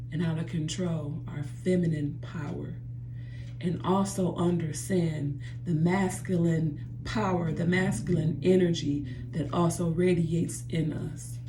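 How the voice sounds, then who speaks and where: A woman's voice: on the low side, steady tone, measured pace, roomy sound, background noise. A woman talking earnestly to her viewers in a personal vlog from her bathroom, often repeating herself and calling them "y'all". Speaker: vlogger. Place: home bathroom by a running jacuzzi.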